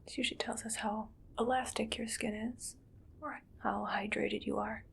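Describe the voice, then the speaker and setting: A woman playing a musing, matter-of-fact mad scientist in an ASMR medical roleplay, murmuring in a breathy whisper with creaky edges. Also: fairly high pitch, fairly even intonation, a little hiss or hum. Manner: unhurried, murmuring to self, clinically detached. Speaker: ASMR performer.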